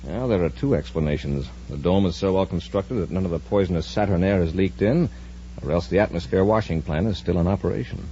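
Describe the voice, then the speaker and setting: An actor playing an authoritative, observant space patrol commander in a measured 1950s radio adventure style. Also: male voice, deep voice, warm tone, lightly acted. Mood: calm, watchful. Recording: muffled, noisy old radio recording with hum.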